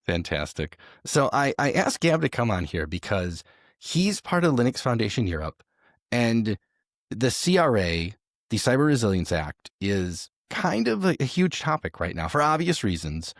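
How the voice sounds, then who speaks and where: A man's voice: medium pitch, very animated, moderate pace, no echo. Upbeat podcast host guy, voice bouncing all over, dry close sound. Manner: enthusiastic, conversational. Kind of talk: casual interview hosting.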